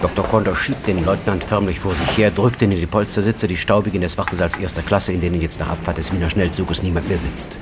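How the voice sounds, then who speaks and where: A man playing the storyteller of a radio drama, giving literary narration in measured, expressive phrases. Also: sits low, noisy background, lightly acted. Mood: uneasy, tense. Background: music.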